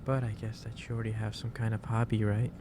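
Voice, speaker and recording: Low-voiced, halting gentle boss played by a man. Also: flat delivery, lightly acted. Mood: gently concerned. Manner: halting, repeats and restarts phrases. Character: gentle, caring office boss lecturing a tired worker. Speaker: ASMR roleplay performer. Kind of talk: soft-paced ASMR roleplay monologue. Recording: clearly noisy background hiss or ambience.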